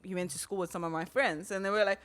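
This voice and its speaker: A young woman with a South African accent, a comedian guest telling lively stories in casual podcast chat and laughing through them. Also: medium pitch, fast talker, a crowd in the background.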